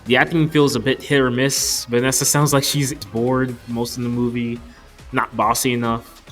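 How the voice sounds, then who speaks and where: A man's voice: medium pitch, level tone, normal speed, fairly bright tone, faint music behind. A man in his twenties narrating an opinionated, scripted movie review in an informal way. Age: 20s. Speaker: video reviewer.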